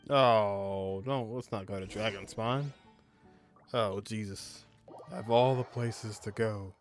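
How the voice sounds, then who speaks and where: A man's voice: on the low side, fairly even intonation, slow pace, faint music behind. A man reacting casually as he plays a video game. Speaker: game streamer. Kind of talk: casual reactions over gameplay.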